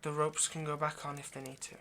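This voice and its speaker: A man playing an anxious, lovesick vampire kidnapper in an intimate audio roleplay, speaking in a halting, pleading way. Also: a little high, monotone, pushed and bright, slight background noise. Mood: nervous, gently pleading. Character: anxious, lovesick male vampire kidnapper, centuries old but youthful.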